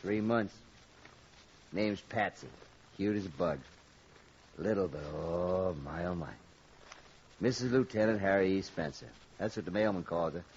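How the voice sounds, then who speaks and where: A man's voice: sits low, a little slow, long pauses, darkish tone. An actor in an old-time radio drama playing an easygoing airman who is fondly smitten with his bride. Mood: fond, relaxed. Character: easygoing newlywed airman, fond and drawling about his bride. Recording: dull, slightly noisy old radio recording.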